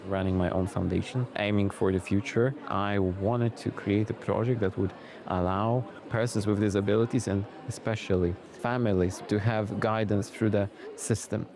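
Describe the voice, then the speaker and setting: Calm man from Poland over crowd noise. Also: lowish voice, normal speed. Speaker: foundation founder. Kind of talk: short self-introduction. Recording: crowd chatter behind.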